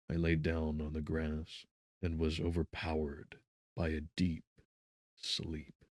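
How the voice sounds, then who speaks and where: A man narrating a gothic novel as an audiobook in a solemn, measured, theatrical manner. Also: extremely low pitch, dry recording, clean studio sound.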